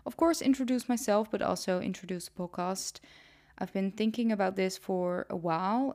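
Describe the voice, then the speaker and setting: A woman's voice: mid-range voice, a little mellow. Dutch girl in her twenties, rambly podcast chat, lots of ums. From Netherlands. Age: 20s. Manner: chatty, rambling with frequent ums. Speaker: podcast host. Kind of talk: casual solo podcast monologue.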